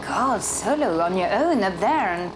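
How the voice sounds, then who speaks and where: A woman's voice: medium pitch, animated, measured pace, background noise. A woman training as a pilot, speaking in an interview and searching for her words as she talks.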